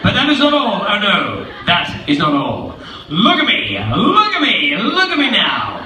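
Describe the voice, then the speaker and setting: A man's voice: high-pitched, wildly swooping pitch, measured pace, bright, forceful tone, heavily acted. A man doing a boastful trickster cat. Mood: showing off. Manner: bouncy, sing-song rhyming delivery. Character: boastful showy male cat, playful trickster. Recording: roomy, distant, noisy live room.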